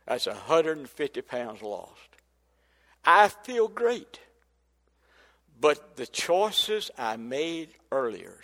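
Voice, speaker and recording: A man's voice: medium pitch, long reverb tail. An older man, preaching a sermon in folksy storytelling style that builds to emphatic preaching. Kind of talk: sermon testimony.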